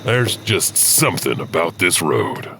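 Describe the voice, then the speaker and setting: A man's voice: low-pitched, sing-song, a little slow, gravelly, noisy background, full character voice. An actor playing a knowing local man who recounts a legend in a wry, folksy way for an audio horror anthology. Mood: wry, ominous.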